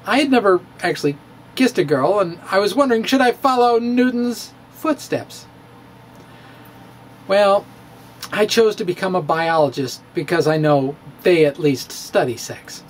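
A man's voice: sits high, slight background noise. A middle-aged man giving a playful, theatrical dramatic reading of his own physics blog into a studio mic in a room at home. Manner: theatrical, playful, with pauses and dips. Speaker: physics blogger. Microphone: studio mic in front of him.

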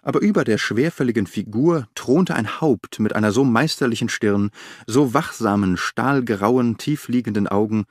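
Expressive male audiobook narrator. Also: medium pitch, no room sound, clean studio sound.

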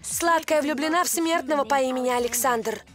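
A lively young supernatural cartoon girl. She sounds high and self-assured, with faint music behind her. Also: fairly quick. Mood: scheming. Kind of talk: animated series dub acting.

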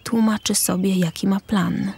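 A woman reading scripted stage directions as the narrator of a drama, with a husky voice. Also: medium pitch, fairly even intonation, moderate pace, darkish tone, a little hiss or hum.

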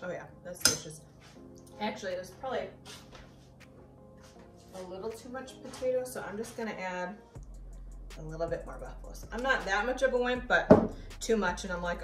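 Chatty woman in an echoey kitchen. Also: medium pitch. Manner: chatty, easygoing, tastes as she goes. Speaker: cooking vlogger. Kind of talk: casual cooking demo. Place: home kitchen. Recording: echoey kitchen, voice a bit far from mic, background noise.

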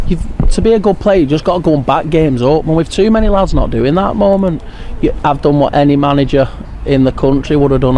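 A man in his thirties with an English accent, a football manager giving a frank, halting post-match interview outdoors at a floodlit ground at night. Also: medium pitch, background noise. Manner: frank, frustrated, halting with restarts. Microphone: handheld furry mic held near by interviewer.

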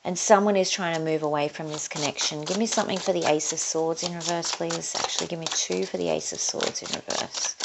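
A woman's voice: lowish voice, steady tone, fairly quick, gravelly, hardly any pauses, very noisy. Chatty Australian woman reading tarot cards. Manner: chatty, thinking aloud while drawing cards. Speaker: tarot reader. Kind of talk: casual tarot reading to camera. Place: indoors at a table, overhead camera on her hands.

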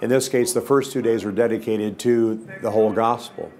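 A man's voice: lowish voice, fairly even intonation, normal speed, dark tone. A man giving explanatory remarks in an interview.

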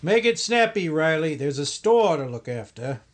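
A man plays a stingy, gruff shopkeeper boss in an old radio comedy. His voice is high for a man and very animated, brusque and full of bluster. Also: normal speed. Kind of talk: recreated 1950s radio sitcom acting.

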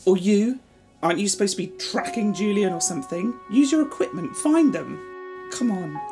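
A man's voice: high-pitched, lively intonation, normal speed, over music. A man playing a mouthy, anxious young human companion in a fan audio drama, colloquial and questioning. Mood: pleading, urgent.

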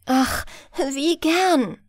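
A woman's voice: sits high, drawn-out words, no room sound, studio quality. A woman reading a bedtime fairy tale, voicing an innocent, trusting little girl with an artless, childlike manner. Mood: sad, longing.

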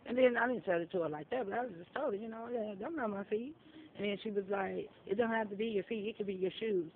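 A woman's voice: mid-range voice, fast talker. A woman, a shelter resident, telling a story in casual chat, blunt and profane, acting out the dialogue she quotes, recorded on a phone outdoors. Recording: tinny phone-like audio, faint noise.

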